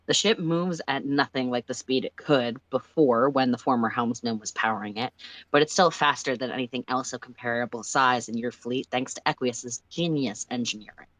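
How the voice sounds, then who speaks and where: Someone reading a story out loud, expressive narration, middling pitch, really dry close sound. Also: normal speed, no echo, rough recording.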